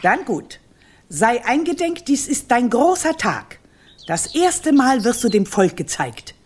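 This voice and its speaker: An actress playing a stern, domineering old grandmother witch who rules a kingdom, speaking in curt, husky commands in a children's radio play. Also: fairly high pitch, very animated, slow pace, slight background noise. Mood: brisk, formal.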